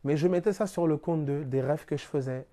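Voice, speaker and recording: A man's voice: a little high, fairly quick, mellow. A man from France giving a spiritual teaching talk, explaining through everyday examples and rhetorical questions in a plainspoken, casual way. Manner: plainspoken, casual turns of phrase.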